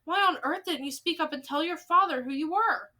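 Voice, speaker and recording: A woman voicing a determined, plain-spoken old grandmother in a storybook, brisk and firm. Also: high-pitched, bright, forceful tone. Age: older. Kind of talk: storybook character voice.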